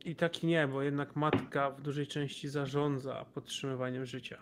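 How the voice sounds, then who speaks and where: A man's voice: medium pitch, fairly even intonation, normal speed, background noise. A man playing a tabletop role-playing game, casually discussing plans with other players.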